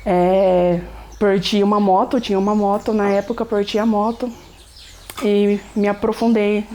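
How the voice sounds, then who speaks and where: A woman's voice: mid-range voice, level tone, unhurried, warm tone, slight background noise. A young Brazilian woman gives a reflective personal testimony, often ending phrases with tag questions. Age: young adult. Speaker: resident sharing her testimony.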